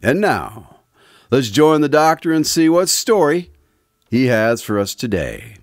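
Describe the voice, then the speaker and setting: A man's voice: medium pitch, big pitch swings, measured pace, a little hiss or hum. A man playing an eager, theatrical announcer who hosts an old-time style radio drama. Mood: grand, inviting. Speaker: announcer and host.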